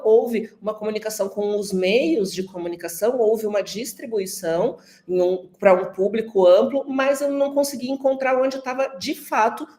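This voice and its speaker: A woman's voice: mid-range voice, warm tone. A Brazilian woman giving conversational academic commentary in a roomy recording. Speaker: research discussant. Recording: echoey, roomy sound.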